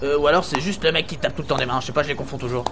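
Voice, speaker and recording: A man's voice: a little high, steady tone, racing, close to an everyday voice. A man playing a cynical, scheming, foul-mouthed minion on a quest in a comedic audio serial, slangy and sarcastic. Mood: offhand. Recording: clear background noise.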